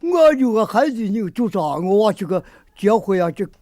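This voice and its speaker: High-pitched man, dry close sound. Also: very animated, moderate pace, mellow, no echo. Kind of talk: colloquial unscripted talk.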